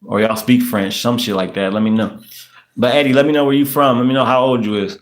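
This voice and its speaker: A man's voice: mid-range voice, steady tone, quick pace. A man in his late thirties from the US, hosting a casual livestream, chatting loosely, repeating himself and using street slang. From United States. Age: 30s. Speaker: podcast host. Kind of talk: casual livestream chat reading comments.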